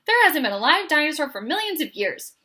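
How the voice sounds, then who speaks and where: An excitable little girl beaver from a children's storybook, acted out by a woman reading aloud. She is a know-it-all who speaks emphatically and teasingly, with a high, bright voice. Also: a little fast, exaggerated voice. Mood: excited, amused.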